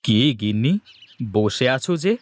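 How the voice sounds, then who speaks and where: A man's voice: on the low side, animated, measured pace. A man voicing a scheming, boastful village husband in a cartoon, bossy and coaxing in manner. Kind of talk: Bengali cartoon voice acting.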